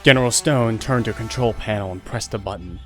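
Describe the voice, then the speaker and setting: A man's voice: on the low side, quick pace, faint music behind. A man narrating a scripted audio drama as storyteller.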